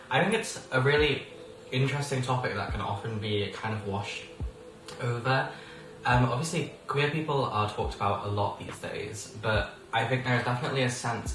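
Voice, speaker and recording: A sixteen-year-old man hosts a casual podcast chat at a table, joking, rambling and laughing as he goes. His voice sits back from the microphone in an echoey room. A steady background noise runs underneath. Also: on the low side. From England. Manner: playful, laughs often, rambling. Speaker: podcast host. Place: indoors at a table. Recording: roomy sound from a distance with background noise.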